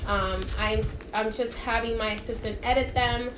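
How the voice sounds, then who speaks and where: A woman's voice: on the high side, steady tone, moderate pace. A woman whose first language is Spanish, giving a live-streamed spiritual card reading in a chatty, encouraging way that keeps checking in with her viewers. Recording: echoey room, faint background hiss.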